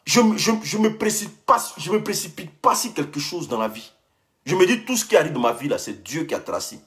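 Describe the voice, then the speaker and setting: A young man vents on a phone live stream, talking straight at a rival and doubling back on his own phrases. His voice sits a bit high, and his pitch leaps and drops constantly as he gets heated. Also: big pitch swings, a little fast, a bit bright. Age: young adult. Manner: heated, repeats himself, speaks straight at a rival. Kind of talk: casual live-stream rant.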